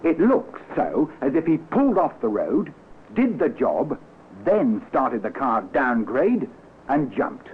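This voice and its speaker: An actor playing a deferential police sergeant reporting keenly in an old radio drama, on a tinny, noisy recording. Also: male voice, mid-range voice, wildly swooping pitch. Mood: eager, dutiful. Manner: brisk, respectful reporting. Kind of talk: old-time radio acting.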